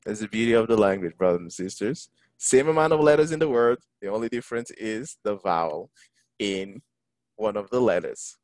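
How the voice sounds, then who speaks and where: A man teaching an online Quran lesson, engaging his listeners and reciting Arabic verses quickly. English is not his first language. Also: on the low side, animated, a little mellow, no echo, low-quality recording. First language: neither Arabic, English nor French. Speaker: imam teaching a Quran class. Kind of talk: online Quran lesson over a video call. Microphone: video call.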